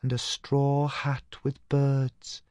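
A man's voice: mid-range voice, monotone, drawn-out words, a little mellow, dry recording, pitched above the performer's own voice. A man voicing the ghost of a young Welsh woman, proud, wistful and dreamy, in a storybook reading. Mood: wistfully proud.

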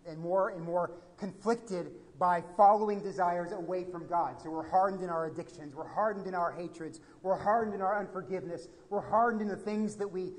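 A fairly high-voiced American pastor in his thirties preaches from a pulpit microphone. He moves from hushed, reflective lines to emphatic appeals. His voice carries the long reverb of a church hall. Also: brisk, few pauses. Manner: animated, gesturing, swings from quiet to emphatic. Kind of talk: sermon.

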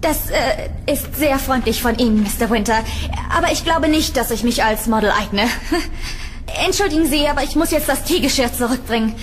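A woman's voice: sits high, normal speed, faint music behind, natural voice. An actress playing a curious, polite, uneasy young woman tenant in a German horror audio drama, hesitant and slightly sardonic. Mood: nervous, polite.

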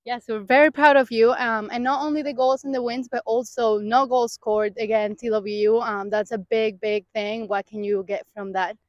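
A young woman hosting a post-game interview on a soccer field at night, asking friendly, halting questions. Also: fairly high pitch, steady tone, no room sound, dull audio. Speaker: sports information director interviewer.

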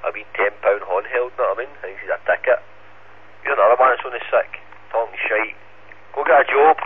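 A man's voice: mid-range voice, fairly quick, slight background noise. Taunting Scottish man over narrow, phone-like radio. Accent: Scottish, central Scotland. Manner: taunting, sweary, slangy. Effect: two-way radio. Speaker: amateur radio operator. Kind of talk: casual ham radio banter. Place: received over an FM radio repeater.

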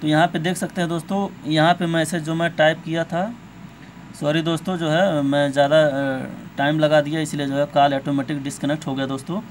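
A man with a slightly high voice walks viewers through phone settings step by step. His delivery is informal and repetitive, with some hesitation as he goes. It is an amateur recording with faint noise behind him. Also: flat delivery, a little fast. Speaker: tech tutorial host.

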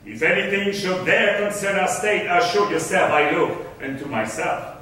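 A man's voice: sits high, moderate pace, bright, forceful tone, a little hiss or hum. An actor playing a wealthy, shrewd, self-serving merchant and father in theatrical classical stage verse. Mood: scheming. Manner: theatrical, scheming. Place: theatre stage with music stands. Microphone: far camera. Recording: echoey hall, recorded from far off.

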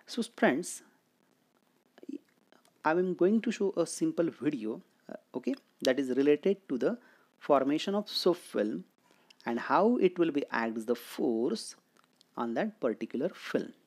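A man's voice: fairly high pitch, lively intonation, measured pace, pauses often, darkish tone. A man with an Indian accent giving an explanatory physics lecture as a teacher, often asking questions and answering them himself. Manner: didactic, asks and answers own questions.

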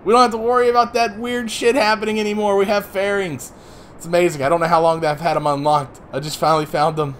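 A man in his thirties, a little hoarse today, casually explaining his moves while playing a space game, with rambling asides. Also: high voice, little pitch movement, fast talker, a bit bright, a little hiss or hum. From Northeastern US. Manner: rambling, explanatory, self-deprecating. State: slightly hoarse. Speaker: let's play host. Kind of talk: casual gameplay commentary.

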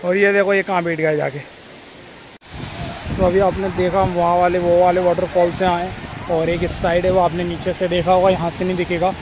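High-voiced young man vlogging outdoors. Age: 20s. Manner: excited, informal, calling out to companions. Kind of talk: casual vlog commentary to friends and viewers. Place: outdoors on forested rocky trail near waterfalls. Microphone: phone at arm's length. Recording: noisy outdoor phone audio with rushing water.

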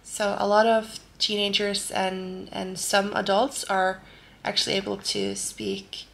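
A woman in her thirties with a Norwegian accent, answering viewer questions calmly while lying in bed, recorded by a close camera in a bedroom. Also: medium pitch, unhurried, vocal fry. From Norway. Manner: calm and gentle, lying in bed while talking. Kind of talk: soft-spoken casual Q&A. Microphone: camera close at face level.

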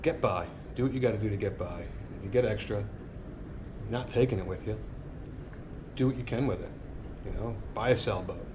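Middle-aged male vlogger, somewhat low voice, earnest motivational rambling, recorded outdoors on a camera mic with clear ambient noise. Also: brisk. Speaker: sailing vlogger. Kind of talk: casual reflective monologue. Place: outdoor porch by a marina. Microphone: action camera on a tripod a few feet away.

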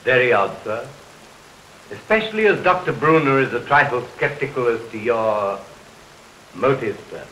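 A man plays a loyal, disapproving butler in early talkie style. He is formal, dry and measured, with a middling pitch. Light hiss and stormy rain lie behind the voice. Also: lively intonation, fairly bright tone, close to an everyday voice, rough recording. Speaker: film actor. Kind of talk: early 1930s film acting. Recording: hissy 1932 film soundtrack, stormy rain behind.

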